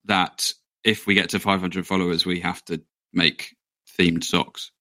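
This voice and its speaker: A man's voice: low voice, little pitch movement, measured pace, sharp tone. A man co-hosting a podcast, teasing and upbeat as he introduces and banters. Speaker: podcast co-host. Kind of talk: casual chat.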